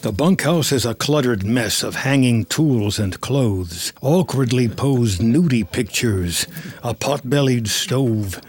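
A man's voice: on the low side, husky. A man reading scripted screenplay narration as the narrator of a drama.

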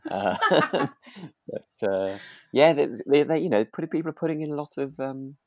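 A man's voice: a little high, expressive, moderate pace, no room sound, clean studio sound. A British man co-hosting a podcast in casual chat, agreeable and rambling, often hesitating as he goes. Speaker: podcast co-host.

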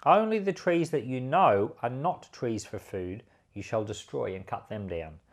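A man, a pastor, reading scripture and giving devotional commentary in a measured, emphatic delivery. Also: mid-range voice, lively intonation.

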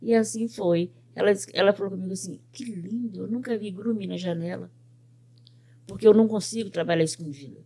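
An older woman from Brazil, a pet groomer and business owner, telling her life story in an interview with lively quoted speech. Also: medium pitch, fairly quick. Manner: animated storyteller who quotes other people's words.

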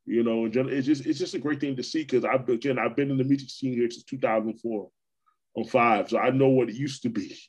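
A man from New York hosting a podcast, talking in a relaxed, casual way with lots of repeats and restarts. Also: medium pitch, brisk, breathy edge. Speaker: podcast host. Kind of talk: casual conversation.

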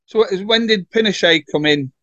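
High-voiced male host, dry close sound. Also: brisk, pushed and bright, no room sound, highs cut off. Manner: hesitant, with many fillers. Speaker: podcast host. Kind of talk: casual interview.

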